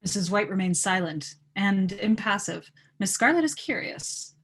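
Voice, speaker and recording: A woman's voice: mid-range voice, animated, moderate pace, fairly bright tone. A woman narrating stage directions at a live table read of a film script.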